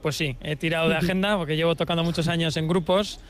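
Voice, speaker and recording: A man's voice: on the high side, steady tone, fast. A man nearing forty with a Spanish accent from Spain, chatting casually as an interview guest. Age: approaching forty. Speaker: interviewed musician and scientist. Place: outdoors at a festival site.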